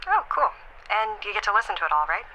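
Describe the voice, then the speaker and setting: A woman's voice: medium pitch, big pitch swings, fast, natural voice. An actress playing an affectionate, teasing young girlfriend on a phone call in a naturalistic audio drama, talking casually. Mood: teasing, curious. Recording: clear noise, phone call ambience.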